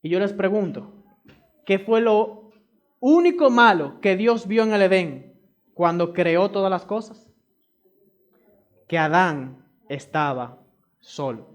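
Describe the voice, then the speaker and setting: A man's voice: high-pitched, lively intonation, slow pace, long pauses. A man from the Republic, preaching a sermon and pressing his points by repeating them straight to the congregation. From Dominican Republic. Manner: emphatic, repeats key phrases; addresses the congregation directly.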